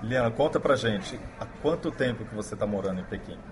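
A man with a Brazilian Portuguese accent hosting a relaxed interview, asking friendly questions. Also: lowish voice, fast. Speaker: host. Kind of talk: casual interview. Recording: noisy background.

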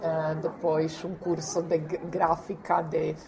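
A woman from Croatia talking casually as an interview guest, in careful, halting non-native Portuguese with occasional Spanish slips. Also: low voice, little pitch movement, gravelly, background noise.

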